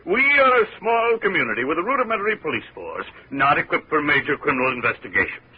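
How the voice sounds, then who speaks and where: Pompous small-town mayor, husky, very animated pitch, flowery and hesitant, old radio drama, dull sound. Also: male voice, fast talker. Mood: earnest, formal. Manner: pompous, hesitant, overblown rhetoric. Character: tall gray-haired pompous small-town male mayor. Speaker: radio drama supporting actor. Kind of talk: 1950s radio drama acting.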